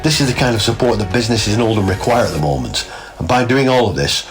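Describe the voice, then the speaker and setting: A man's voice: lowish voice, very animated, fast talker. A man giving a prepared testimonial as a radio listener. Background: music.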